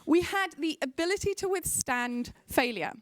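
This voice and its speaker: A woman's voice: high-pitched, animated, normal speed, dry recording, clean studio sound. A woman hosting a live game show at a conference in a playful, teasing way.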